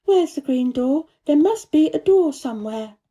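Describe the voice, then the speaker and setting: Storybook child role: curious, bossy young girl, high voice, direct questions adult female reader. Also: lively intonation, moderate pace, warm tone. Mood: insistent. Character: sour, imperious, curious little girl.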